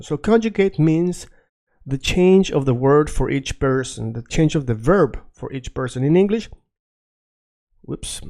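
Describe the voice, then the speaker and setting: Man, fairly high voice. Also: darkish tone. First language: Spanish. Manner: patient, checks in often with "right?". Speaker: language teacher. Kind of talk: explaining a language lesson.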